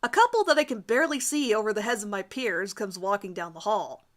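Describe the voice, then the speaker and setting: A woman doing an amateur dramatic reading of a first-person story in an expressive, theatrical manner. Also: medium pitch, fairly quick. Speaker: amateur reader of her own fan fiction.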